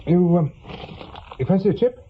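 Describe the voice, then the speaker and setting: A man's voice: high-pitched, lively intonation, normal speed, a little hiss or hum. A man playing an awkward, wry middle-aged ex-policeman turned private eye in a radio play, muttering and hesitant. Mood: awkward, evasive. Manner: hesitant, muttering, dryly sardonic. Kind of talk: naturalistic radio drama acting. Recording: dull, lo-fi radio drama recording.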